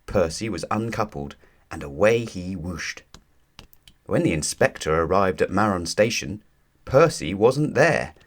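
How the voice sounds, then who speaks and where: A man narrating a children's storybook aloud in an expressive, deliberate storytelling manner. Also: sits low.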